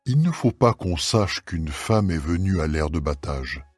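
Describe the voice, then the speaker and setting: A man's voice: low-pitched, animated, a little slow, breathy edge, no room sound, soft background music, exaggerated voice. A man playing a kindly, honourable mature landowner, solemn and reassuring, in a dramatised scripture reading. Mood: quiet instruction.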